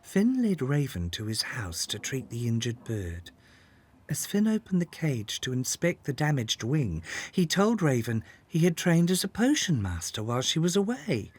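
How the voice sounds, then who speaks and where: A man narrating a scripted children's story in an expressive, dramatic way. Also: medium pitch, moderate pace, breathy edge.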